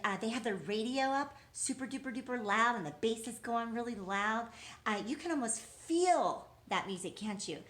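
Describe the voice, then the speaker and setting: A woman's voice: fairly high pitch, fairly bright tone, slight background noise. A woman teaching young children in an encouraging way, asking questions, answering them as if replying to the children and repeating key words. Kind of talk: interactive lesson for young children.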